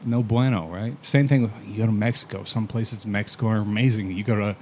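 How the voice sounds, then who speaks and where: Brief: male podcast guest, lower register, easygoing storyteller, casual cursing, faint hum behind. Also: quick pace, a little mellow. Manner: laid-back storyteller, swears casually, chuckles often. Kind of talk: casual chat. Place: podcast recording in Canada.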